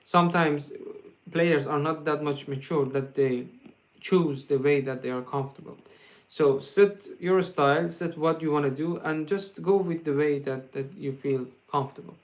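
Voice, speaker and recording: A man with a Persian accent, his voice sitting a little high, talks casually to viewers on a guitar livestream. He stumbles and restarts often, padding his answers with fillers, and laughs easily as he jokes. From Iran. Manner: halting, with frequent fillers and restarts. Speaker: guitar teacher hosting a livestream.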